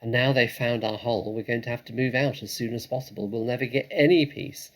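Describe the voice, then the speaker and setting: A man voicing a proud, anxious, quick-witted father fox in a storybook, urgent and exclamatory. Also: mid-range voice, lightly acted. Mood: worried.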